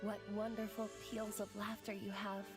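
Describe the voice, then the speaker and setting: A woman's voice: medium pitch, level tone, moderate pace, over music, natural voice. A woman playing a young noblewoman mage in a video game, grandiose and theatrical, with formal archaic diction. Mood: meek, self-abasing. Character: haughty, theatrical young noblewoman mage, prone to abject self-abasement. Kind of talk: video game character acting.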